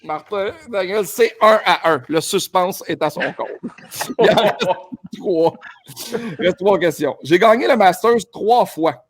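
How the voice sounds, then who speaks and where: Upbeat Québécois male host, higher register, game-show energy. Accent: Québécois French. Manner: upbeat, quick, playful announcer tone. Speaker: podcast host running a golf quiz. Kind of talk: casual chat and quiz hosting.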